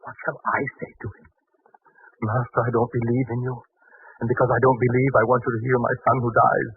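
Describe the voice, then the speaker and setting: An actor playing a doubting, determined father of a sick son, with solemn, halting speech in 1940s radio drama style. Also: medium pitch, level tone, breathy edge, no echo. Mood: anguished, faltering. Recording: noisy, narrow-band 1940s radio recording.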